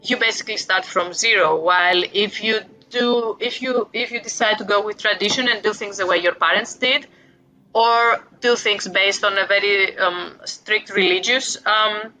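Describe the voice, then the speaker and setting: A woman's voice: mid-range voice, normal speed, bright, forceful tone, rough recording. A woman in her forties from Greece with a Greek accent, a guest author talking reflectively about parenting, with light self-deprecating humor. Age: 40s. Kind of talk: casual interview talk.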